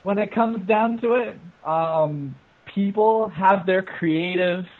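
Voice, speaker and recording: A man with a fairly high voice chats casually about films as a guest reviewer. The sound is narrow and phone-like, as on an internet call. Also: slow. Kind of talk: casual group film review chat.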